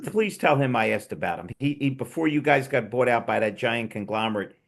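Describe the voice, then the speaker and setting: Male host, mid-pitched, gravelly, chatty storyteller on a remote call. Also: lively intonation, fairly quick. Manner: rambling, enthusiastic storyteller with restarts. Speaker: podcast host. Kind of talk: casual panel chat.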